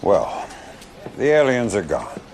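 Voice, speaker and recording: An actor playing a stern, blunt older general addressing a subordinate in a video game cutscene. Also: male voice, lowish voice, wildly swooping pitch, takes it slowly, gravelly, darkish tone, husky, heavily acted. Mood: bitter, disgusted. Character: stern, plain-spoken male military general, older, weary authority.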